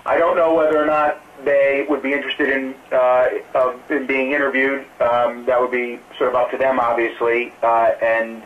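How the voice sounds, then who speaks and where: Muffled, distant phone-line man, halting spokesman. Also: medium pitch, level tone. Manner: halting, careful, hedging delivery. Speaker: political campaign spokesman. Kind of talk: press conference call, answering questions off the cuff. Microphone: telephone conference call.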